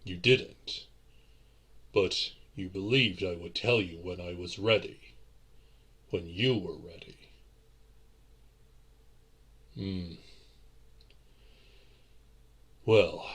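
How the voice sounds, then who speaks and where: A man playing a courtly vampire boyfriend in an audio roleplay, with formal, theatrical phrasing and a husky edge to the voice. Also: sits low, fairly bright tone, exaggerated voice. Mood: tender, apologetic.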